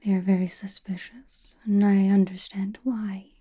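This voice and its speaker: A woman playing an enigmatic, knowing sorceress in an ASMR roleplay, in a soft breathy whisper, speaking slowly and cryptically straight to the listener. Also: medium pitch, flat delivery. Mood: wry, guarded. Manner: slow, deliberate, cryptic, addressing listener intimately.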